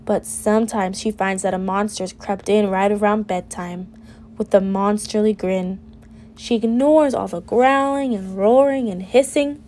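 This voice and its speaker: A woman reading a children's picture book aloud with an expressive, deliberate delivery. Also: mid-range voice. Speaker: storybook reader.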